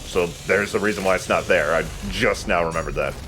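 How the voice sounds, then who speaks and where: A man's voice: sits low, brisk, background noise. A man giving casual, joking and sometimes profane game commentary as the host of a let's play.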